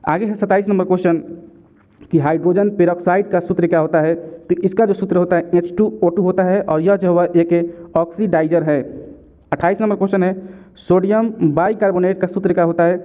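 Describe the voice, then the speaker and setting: A man teaching exam questions and answers in a didactic way, repeating key points as reminders. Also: high voice. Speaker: exam-prep chemistry teacher. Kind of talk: question-and-answer lesson narration. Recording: narrow, tinny phone-like sound.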